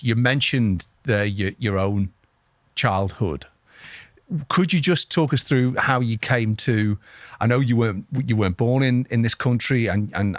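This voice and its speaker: Joking man host, halting questions. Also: medium pitch, dry recording. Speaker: podcast host. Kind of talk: casual interview talk.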